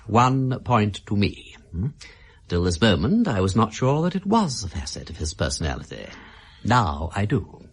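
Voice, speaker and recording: Radio drama casting: mocking British gentleman informer, languid and witty, husky, hugely animated intonation. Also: lowish voice, moderate pace, heavily acted. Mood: amused. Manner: arch, languid, teasing, flourishing turns of phrase.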